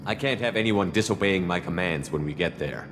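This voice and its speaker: An actor playing a resolute military commander in a video game, blunt, wary and firm. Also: male voice, sits low, a little fast, a bit bright, slight background noise. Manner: direct, terse, firm. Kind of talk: cinematic video game dialogue. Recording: music behind.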